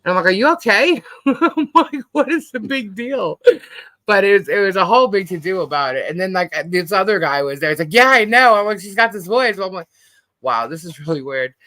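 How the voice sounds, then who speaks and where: A middle-aged American woman with a husky, deep-set voice that still cuts through brightly. She chats casually and bluntly, acting out bits of dialogue as she rambles through anecdotes. The sound is close and dry. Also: animated, fairly quick, dry recording. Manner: blunt, slangy, animatedly quotes herself and others. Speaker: host of a live panel stream.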